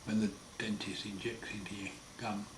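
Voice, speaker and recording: A man with a croaky, hoarse voice after throat surgery, talking slowly and wryly in a casual home video diary. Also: fairly low pitch, little pitch movement. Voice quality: hoarse, partly breathy. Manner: slow, wry, plain-spoken, often pausing. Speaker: patient sharing his recovery. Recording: roomy echo, far mic, noisy.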